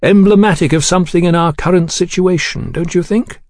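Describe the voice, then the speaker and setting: Man playing suave, menacing English gentleman, pitched up. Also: brisk, dry recording, studio quality. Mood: quietly menacing. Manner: genteel, elaborately courteous, insinuating. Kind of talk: audiobook character dialogue.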